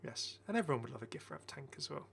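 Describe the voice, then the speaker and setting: A man's voice: medium pitch, animated, fast talker, gravelly, fairly bright tone, slight background noise. A man giving casual, enthusiastic game commentary as a chatty, rambling video host.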